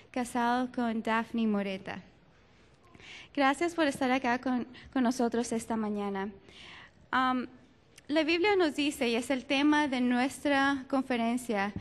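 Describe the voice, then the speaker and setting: A woman with a high voice leads a church youth panel. She introduces each guest in turn, then puts questions to the group. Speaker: panel moderator.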